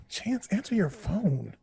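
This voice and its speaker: A man's voice: high-pitched, big pitch swings, takes it slowly, vocal fry, mellow, husky, dry recording, heavily acted. An actor playing a self-doubting young man with a hesitant, flustered manner in an audio drama. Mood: anxious. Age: young adult. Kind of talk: naturalistic audio drama acting.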